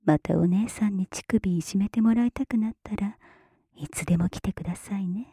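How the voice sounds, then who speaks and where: A woman performing erotic ASMR roleplay in a breathy whisper, with a teasing, gently commanding big-sister manner, close on the mic. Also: medium pitch, fairly quick, no echo. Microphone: close mic, dry.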